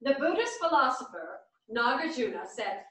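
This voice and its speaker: A woman's voice: high voice, big pitch swings, normal speed, a bit bright. An actress playing an earnest, hopeful, sincere older woman in a theatrical staged reading. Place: small theatre stage, far camera. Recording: echoey theatre, distant microphone.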